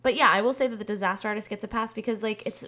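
A woman's voice: mid-range voice, fairly even intonation, rapid-fire, bright, forceful tone. Casual, sweary woman chatting about movies. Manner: profane, slangy speech. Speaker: podcast co-host. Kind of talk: casual movie-review chat.

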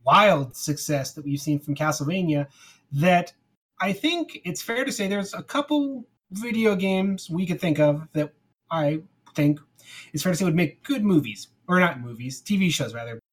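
A man's voice: a little high, animated, moderate pace, breathy edge. A man co-hosting a podcast in a casual, conversational style. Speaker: podcast co-host. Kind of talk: casual chat.

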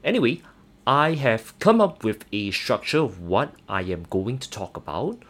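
A young man with a Singaporean accent hosting a solo personal finance podcast, earnest and measured, with occasional hesitant fillers. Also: medium pitch, big pitch swings, a bit bright. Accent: Singaporean English. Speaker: podcast host.

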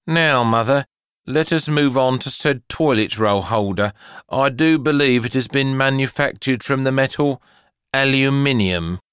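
A man's voice: mid-range voice, a little slow, fairly bright tone, clean tone, dry recording, clean studio sound, pitched above the performer's own voice, close to an everyday voice. A man voicing a pompous, humourless twelve-year-old boy from a storybook, with stiff, formal, disdainful speech. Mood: pompous, declaring.

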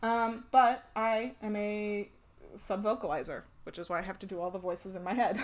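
A woman's voice: mid-range voice, steady tone, normal speed. A young American woman co-hosting a casual chat podcast, laughing often and swearing freely. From United States. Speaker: podcast co-host.

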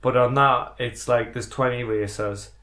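Mid-pitched man in casual podcast chat. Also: fairly even intonation, a little slow, pushed and bright. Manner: informal, opinionated, swears casually. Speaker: podcast co-host. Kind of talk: casual chat about retro video games.

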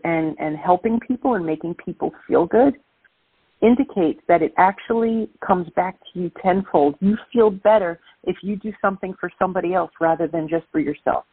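A woman's voice: lowish voice, moderate pace, slightly rough, no echo. Brooklyn woman calling in by phone. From Brooklyn, New York. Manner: energetic, emphatic, repeats for emphasis. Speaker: guest speaker. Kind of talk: phone-in interview, casual. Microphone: phone line. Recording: tinny phone line.

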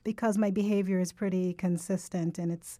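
Brief: lively woman from Jamaica as podcast co-host, dry close sound. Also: fairly low pitch, brisk, no room sound. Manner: upbeat, lively, personable. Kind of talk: casual podcast conversation.

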